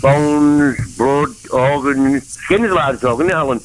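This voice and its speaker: A man's voice: mid-range voice, a little slow, a little hiss or hum, poor audio. A man with a Mancunian accent rambling from topic to topic on a phone-in call. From England. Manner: rambling, jumps between topics. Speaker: caller.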